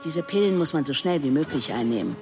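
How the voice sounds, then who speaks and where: A woman's voice: sits low, expressive, fast talker, mellow, soft background music. An actress playing a sardonic, menacing police detective in a radio drama, needling and sarcastic as she questions suspects. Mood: accusing, triumphant. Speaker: police investigator.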